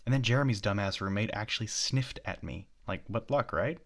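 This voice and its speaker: A man in an ASMR roleplay playing an obsessive, possessive young stalker, with an intimate, halting delivery that slips into breathy whispers. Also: lowish voice, a bit bright. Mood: confessional, rambling.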